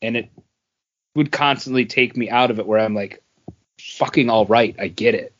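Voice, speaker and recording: A man's voice: medium pitch, moderate pace. A man talking casually and bluntly as a podcast panelist giving a review. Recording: dull, lo-fi audio.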